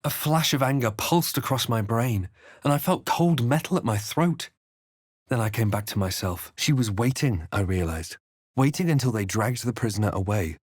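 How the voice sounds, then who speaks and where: An actor playing a brooding adventurer hero in a fantasy game, speaking in a grave, deliberate, understated way. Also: male voice, medium pitch, animated, breathy edge, no room sound, clean studio sound. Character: brooding, measured adventurer hero recounting his dark journey. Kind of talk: scripted fantasy game narration and character lines.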